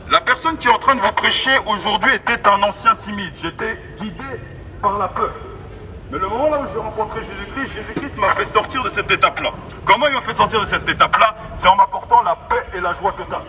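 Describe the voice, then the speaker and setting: Guy street preaching thru a megaphone, pretty high voice, pushy repeated exhorting, far from mic, noisy city traffic. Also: fairly quick, pushed and bright. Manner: emphatic, repetitive, exhorting. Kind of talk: evangelistic street sermon. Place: outdoors in an urban street.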